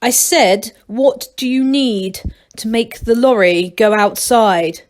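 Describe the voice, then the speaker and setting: A woman's voice: fairly high pitch, slow pace. Woman playing a curt, grieving old male duke. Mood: curt, decisive. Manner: soft-spoken, terse, commanding.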